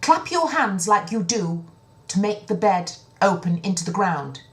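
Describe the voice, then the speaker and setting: An earnest storybook soldier, played by a woman, confident and respectful. The words come emphatically, raised in pitch and drawn out. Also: very animated, unhurried. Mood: instructing.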